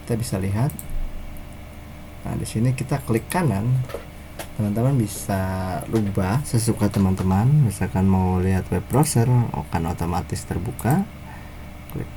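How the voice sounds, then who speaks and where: Slow, low-voiced Indonesian man. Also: noisy background. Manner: casual, halting step-by-step delivery. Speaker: software developer presenting own app. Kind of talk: informal software tutorial narration.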